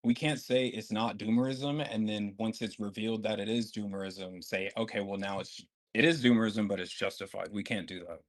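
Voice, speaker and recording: A man in a heated online debate, laying out his argument in a measured, explaining way. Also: lowish voice, fairly even intonation.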